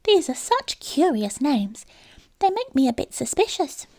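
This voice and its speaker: A woman's voice: high voice, sing-song, measured pace, a little mellow, pitched above the performer's own voice, full character voice. A woman voicing a small, trusting but questioning female mouse in a storybook, prim and wordy in manner. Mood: puzzled, suspicious. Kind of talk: storybook character voice.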